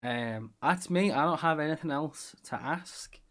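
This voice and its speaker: A man's voice: fairly high pitch, measured pace, a bit bright. Rambling Scouse man hosting a podcast. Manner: rambling, self-deprecating, lots of fillers. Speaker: podcast host. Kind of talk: casual chat.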